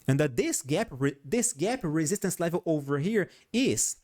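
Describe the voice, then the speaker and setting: A man's voice: fairly high pitch, moderate pace. A Brazilian man with a Portuguese accent, a non-native English speaker hosting stock chart analysis, who often repeats himself and adds "all right?" to his points. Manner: animated, repeats and restarts phrases, frequent "all right?" tag. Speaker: host.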